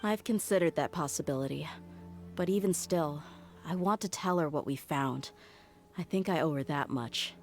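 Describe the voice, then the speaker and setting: A woman's voice: low voice, normal speed, soft background music. A woman playing a loyal, frank mercenary in a video game, with a firm and resolute manner. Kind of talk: video game character acting.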